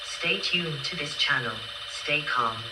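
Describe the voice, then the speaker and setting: Echoey, distant emergency alert announcer over loud noise. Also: medium pitch, slow. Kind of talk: scripted emergency broadcast announcement.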